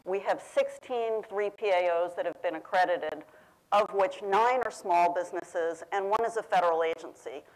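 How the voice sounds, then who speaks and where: Woman panelist, lowish voice, loud and steady, speaking into a panel mic in a big room. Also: moderate pace, poor audio. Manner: says she has a loud voice. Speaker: government program official on a panel. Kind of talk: prepared panel remarks. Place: large conference room. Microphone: panel microphone.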